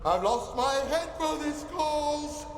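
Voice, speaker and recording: A fanatical, grief-maddened male cult leader from a video game. His voice is high and unhurried as he declaims dark philosophy like a stage soliloquy. The sound echoes around him. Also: animated, heavily acted. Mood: anguished denial. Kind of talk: theatrical dramatic monologue in a video game. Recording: echoey space, loud ambient noise.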